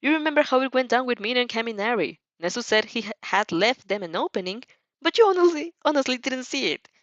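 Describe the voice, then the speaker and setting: A woman narrates a story in a theatrical, expressive way, with pitch that swings widely. She sometimes trips over a word. The recording is dry and a little muffled. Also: fast talker, no room sound. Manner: theatrical, stumbles over words. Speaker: fan fiction reader and host.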